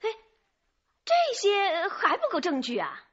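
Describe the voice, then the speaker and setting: A gossipy woman with a high, very animated voice, eagerly informing the police in a radio drama. She is chatty and insinuating, firing rhetorical questions. The track is slightly dull. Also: measured pace, exaggerated voice. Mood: chatty, confiding.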